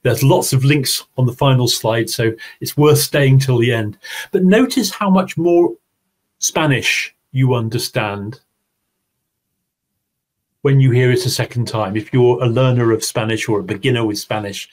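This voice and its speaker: A man's voice: mid-range voice, a little slow. A man presenting an online teaching seminar, talking his audience through his slides. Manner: explanatory, talks through slides. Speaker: webinar presenter.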